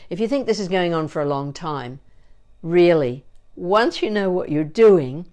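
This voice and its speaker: Chatty older woman coaching voice, lowish pitch. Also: expressive, a little slow, darkish tone. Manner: chatty, digressive, playful. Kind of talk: informal instructional talk with demonstrated vocal exercises.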